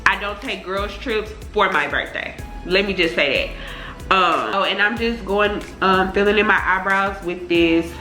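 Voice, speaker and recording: A woman's voice: mid-range voice, a little slow, bright, forceful tone, echoey room, soft background music. A young woman chatting casually to her viewers in informal slang, sounding congested from a cold. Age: young adult. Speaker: beauty vlogger. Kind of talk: casual chatty get-ready-with-me talk.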